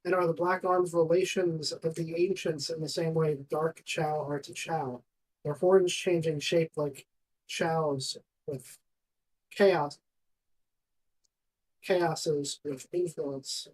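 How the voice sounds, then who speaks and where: A woman's voice: low-pitched, steady tone, slow pace, darkish tone. Female commentator, casual analytical chat, picked up at a distance from the mic. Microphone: some distance from the mic. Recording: distant from the microphone.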